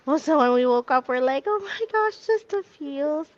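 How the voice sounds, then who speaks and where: A woman's voice: high-pitched, expressive, moderate pace, muffled recording. A woman guest on a video call, gushing and giggly as she talks.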